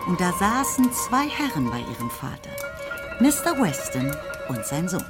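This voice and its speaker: A woman narrating a radio play in a measured, lightly ironic manner. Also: fairly low pitch, very animated. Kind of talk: scripted literary narration. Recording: narration over music.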